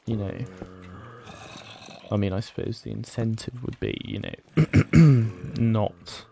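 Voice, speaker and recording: A man with a croaky, weak voice, rambling hesitantly through casual gameplay commentary with many fillers and frequent throat clearing. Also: lowish voice, warm tone. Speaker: let's play gamer. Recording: noisy home recording.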